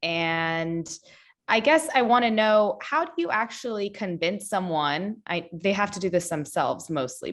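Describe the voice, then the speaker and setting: Young woman resident asking a hesitant question. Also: on the low side.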